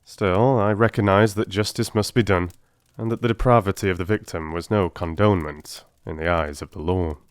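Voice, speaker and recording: A man narrates in a fairly low voice. His delivery is measured, grave and theatrical, as in a dramatised first-person audiobook. The sound is dry, with no room around it. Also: steady tone, clear, resonant tone, dry recording.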